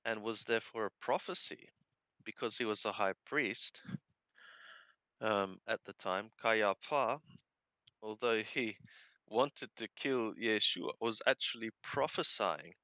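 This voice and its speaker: Man, Bible reader and translator, unhurried deliberate delivery, smooth clear tone, careful enunciation, muffled close recording. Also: fairly low pitch, pushed and bright, no echo. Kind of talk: reading Greek scripture aloud and translating phrase by phrase with commentary.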